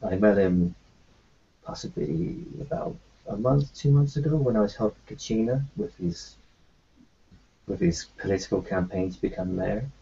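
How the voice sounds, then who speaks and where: A man voices his doctor character in a tabletop roleplaying session. He speaks in a lowish, gravelly voice that stumbles through ums and restarts. The recording is muffled and lo-fi. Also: dark tone. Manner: hesitant, halting speech with many ums and restarts. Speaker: tabletop player.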